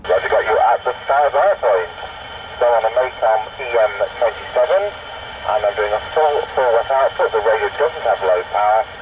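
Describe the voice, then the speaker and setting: A man's voice: medium pitch, lively intonation, moderate pace, pauses often. A man talking in radio procedure as an operator testing equipment. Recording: dull, noisy lo-fi sound.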